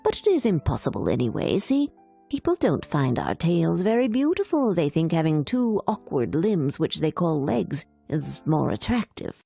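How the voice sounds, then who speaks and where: Elderly mermaid grandma, played by a female narrator: husky, creaky, measured storybook explaining, gently discouraging. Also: low voice, big pitch swings, faint music behind, full character voice. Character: old mermaid grandmother, wise, matter-of-fact, discouraging.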